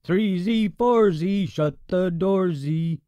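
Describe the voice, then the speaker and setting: A man voicing a big, sneering bully bear cub in a storybook reading, taunting in a loud sing-song. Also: very high-pitched, animated, unhurried, dry recording, pitched above the performer's own voice. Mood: mocking.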